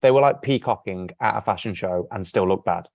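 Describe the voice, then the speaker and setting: Blunt English man, low voice. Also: fairly quick, creaky, darkish tone, no echo, studio quality. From England. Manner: opinionated, blunt, slangy. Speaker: model and fashion guest. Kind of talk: casual interview chat.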